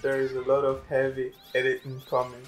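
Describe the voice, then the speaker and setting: A man's voice: mid-range voice, completely flat delivery, brisk, soft background music, rough recording. A young man whose first language is French, chatting casually and rambling over gameplay as host of a gaming channel. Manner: chatty, rambling, repeats words.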